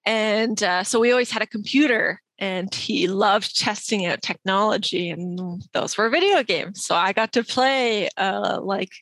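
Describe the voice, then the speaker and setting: A woman in her late twenties talks as a guest on a video call interview. She sounds relaxed and easygoing, and she laughs easily. Her voice comes through dry and close, with no room sound. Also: medium pitch, measured pace, hardly any pauses, dry recording. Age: 20s.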